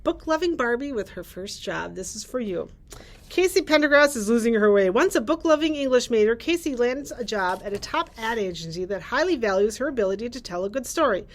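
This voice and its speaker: Higher-voiced woman reading book blurbs. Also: expressive, brisk. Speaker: librarian presenting book picks. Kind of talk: reading book blurbs aloud with brief chat. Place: indoors, library room with bookshelves.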